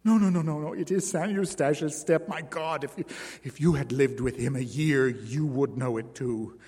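A man's voice: on the high side, normal speed, warm tone, breathy edge. Man voicing a terrified pleading young woman. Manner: pleading, sobbing. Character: terrified young woman, circus performer's wife. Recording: long hall reverb.

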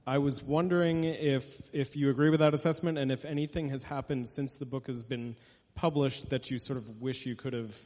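A man's voice: mid-range voice, steady tone, fairly quick, darkish tone. A man moderating a live author event, asking casual, tentative questions in a roundabout way. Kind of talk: casual live on-stage interview. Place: indoor event hall with an audience. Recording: reverberant hall.

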